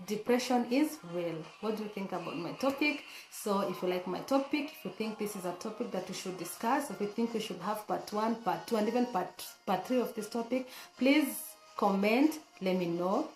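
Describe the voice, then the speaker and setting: Female vlogger, hoarse, earnest and chatty, mid pitch, echoey room sound. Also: animated, moderate pace, hardly any pauses, a little mellow, faint music behind. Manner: chatty, earnest, many fillers and restarts. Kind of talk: casual talk to camera.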